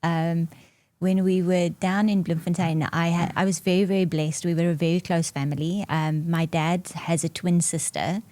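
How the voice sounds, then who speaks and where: A South African woman in her forties whose first language is Afrikaans, chatting in an interview as a marketing executive, laughing often and filling pauses with "um". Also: lowish voice, little pitch movement, dark tone, dry recording. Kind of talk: podcast interview conversation.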